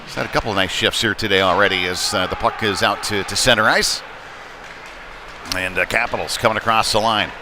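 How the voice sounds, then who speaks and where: A man's voice: fairly low pitch, brisk. A man doing live play-by-play commentary of an ice hockey game in a casual, unpolished, conversational way. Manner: excited calls when play heats up. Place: indoor ice rink. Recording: noisy live rink stream, clear background noise. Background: rink ambience and noise.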